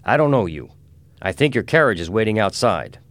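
A man's voice: fairly low pitch, very animated, fairly quick, a bit bright, dry recording, pitched above the performer's own voice. Man as a big, composed lawman, dry sound. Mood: measured.

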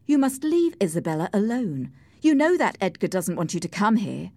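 A woman voicing a passionate, angry young married woman with theatrical, shrill outbursts in an audiobook story. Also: wildly swooping pitch, a little slow, darkish tone, breathy edge, no echo, exaggerated voice. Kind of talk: audiobook character acting.

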